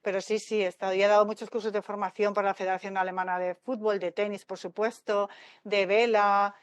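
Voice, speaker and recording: A woman's voice: medium pitch, brisk, clean tone, no echo, clean studio sound. A woman from Spain, a tennis mental coach, chatting animatedly in an interview over a video call from home. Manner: chatty, animated, laughs easily. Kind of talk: casual interview talk. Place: home by the sea.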